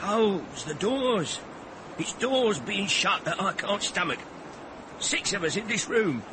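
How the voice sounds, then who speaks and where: A garrulous old tramp in a radio play, high-voiced and chirpy. He talks in coarse, jokey colloquialisms, with clear street noise around him. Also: lively intonation, a little slow. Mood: chatty, amused. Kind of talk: radio drama acting. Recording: noisy outdoor street ambience.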